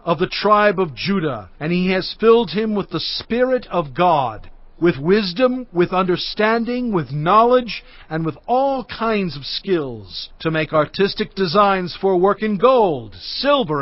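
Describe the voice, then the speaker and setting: A man playing a solemn, commanding prophet who addresses his people in declamatory, measured scripture recitation. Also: sits high, dry recording, heavily acted. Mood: proclaiming. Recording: dry, slightly dull studio recording.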